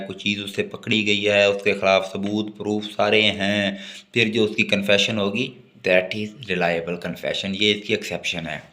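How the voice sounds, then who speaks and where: Bright-voiced Pakistani man lecturing on law. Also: on the low side. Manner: switches between slow English reading and quick Urdu explanation. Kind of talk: lecture, reading legal notes aloud and explaining them.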